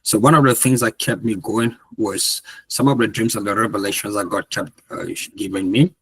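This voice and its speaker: A man's voice: lowish voice, fairly even intonation, moderate pace, a bit bright, slightly rough, poor audio. A man from Cameroon, giving an earnest, repetitive motivational talk over a video call as guest speaker.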